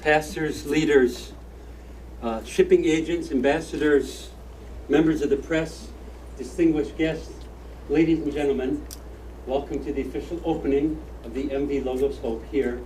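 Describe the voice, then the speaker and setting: A man's voice: a little high, fairly even intonation, moderate pace, darkish tone. Man hosting a ship's opening ceremony. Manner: hesitant, joking, many filler sounds. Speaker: master of ceremonies. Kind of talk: ceremony hosting, informal interviews. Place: aboard a ship at a public opening ceremony. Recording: distant mic, clear background noise.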